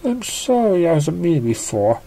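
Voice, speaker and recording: A storybook reader voicing an excitable, earnest female pony who speaks in a halting, apologetic way. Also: medium pitch, voice pushed up, rough recording. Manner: meek, halting, then exclaiming.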